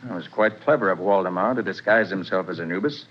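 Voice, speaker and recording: Male actor for a quick, lively, husky-voiced detective, 1940s radio drama, lo-fi. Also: deep voice, slight background noise, lightly acted. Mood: confident. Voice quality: brisk, self-assured explaining. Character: confident male detective explaining the case. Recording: dull old radio broadcast.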